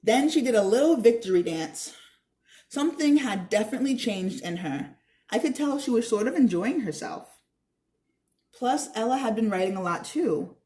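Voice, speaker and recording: A woman's voice: medium pitch, dark tone. A woman reading a children's book aloud expressively, with informal, teacherly asides. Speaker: read-aloud narrator.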